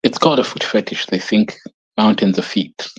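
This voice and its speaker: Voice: medium pitch, moderate pace, husky, muffled recording. A viewer speaking in a submitted clip, joking and amused.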